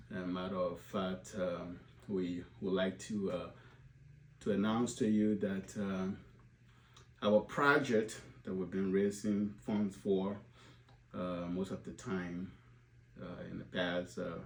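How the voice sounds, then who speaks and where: A man, addressing his organization's supporters in an unscripted talk, pausing often with filler sounds and restarts. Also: low-pitched, darkish tone. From Liberia. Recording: roomy echo, faint background hum.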